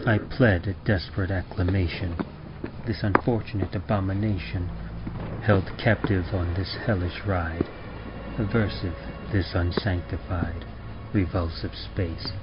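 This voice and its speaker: A man with a very low voice reads horror poetry like an old radio show. His delivery is grave, theatrical and unhurried. The sound is dull, with prominent music behind him. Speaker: podcast presenter reading a horror poem. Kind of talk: dramatic rhymed poetry reading in old-time radio horror manner.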